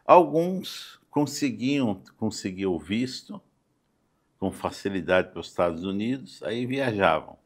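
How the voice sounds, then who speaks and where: An older Brazilian man speaking as a guest in a conversational podcast interview, halting and often adding small check-in tags. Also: on the low side, expressive. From Campo Grande, Brazil. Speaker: interview guest, doctor and city councillor. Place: podcast studio.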